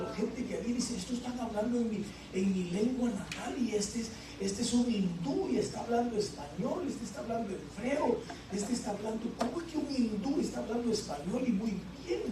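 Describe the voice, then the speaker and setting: A man preaching, asking the congregation questions and filling pauses with "este". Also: high-pitched, noisy background. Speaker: pastor. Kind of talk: sermon. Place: church sanctuary. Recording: echoey church from far off.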